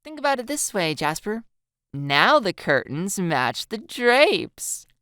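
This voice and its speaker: A woman's voice: mid-range voice, big pitch swings, slow pace, a bit bright, clear, resonant tone, dry recording, studio quality, pitched above the performer's own voice. A woman voicing a mischievous, amused teenage schoolgirl in an audiobook-style story dub, playful and mock-innocent. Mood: smug teasing. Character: teasing, gleeful teenage schoolgirl with a mischievous streak.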